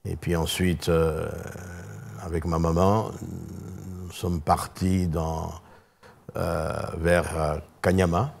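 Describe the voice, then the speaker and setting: Low-voiced older Congolese pastor. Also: male voice, flat delivery, gravelly. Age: 60s. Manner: many filler pauses, measured storytelling. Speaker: pastor guest. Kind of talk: hesitant, thoughtful recounting. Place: television studio.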